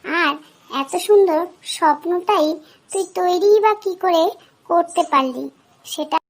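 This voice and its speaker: A woman's voice: extremely high pitch, measured pace. A woman voicing a mischievous, forgetful female fairy in a children's cartoon, chatty and playful. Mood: amused, curious.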